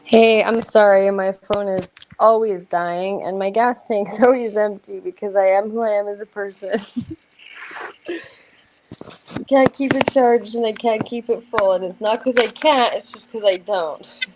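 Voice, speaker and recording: A woman's voice: medium pitch, a little slow, a little mellow, rough recording. A woman with a mid-range voice joins a livestream chat, giggling as she rambles and pokes fun at herself. Faint noise sits behind her voice. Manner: giggly, rambling, self-deprecating.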